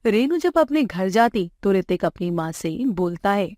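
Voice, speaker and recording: A woman narrates a simple moral bedtime story from a script. Her voice is mid-pitched and recorded very dry, with no room around it. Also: big pitch swings, measured pace, darkish tone, no echo.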